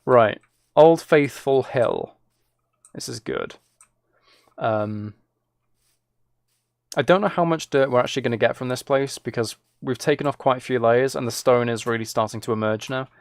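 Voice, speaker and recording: A man's voice: medium pitch, normal speed, gravelly, long pauses, no echo, studio quality. A man chats casually with viewers as he plays a game, rambling and musing aloud. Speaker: live streamer. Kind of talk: casual chat with viewers while gaming.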